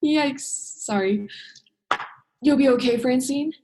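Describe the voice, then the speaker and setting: Casting: actress for young female diver, higher register, earnest, principled, emphatic, radio drama. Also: slow, dark tone, a little hiss or hum, low-quality recording. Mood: friendly, apologetic. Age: young adult. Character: earnest, principled woman diver standing up for herself. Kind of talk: student radio play acting.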